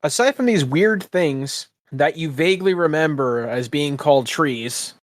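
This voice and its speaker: A man narrating as game master in a measured storyteller's delivery. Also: a little high, animated, dry recording.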